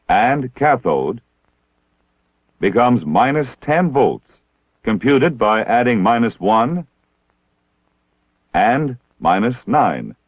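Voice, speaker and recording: An American man narrating a scripted training film in a formal, measured, precisely enunciated way. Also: mid-range voice, husky, dry recording. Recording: dull 1960s film soundtrack.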